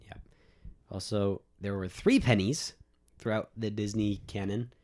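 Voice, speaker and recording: A man's voice: fairly low pitch, steady tone, measured pace, darkish tone. A nineteen-year-old man chatting casually on a podcast, rambling through nerdy trivia. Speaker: podcast co-host. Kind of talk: casual chat.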